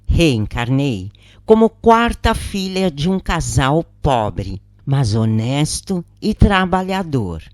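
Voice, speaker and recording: Slow, low-pitched Brazilian woman narrator. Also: sing-song, darkish tone, dry recording, studio quality. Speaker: narrator of spiritist readings. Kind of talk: scripted audiobook narration of a first-person account.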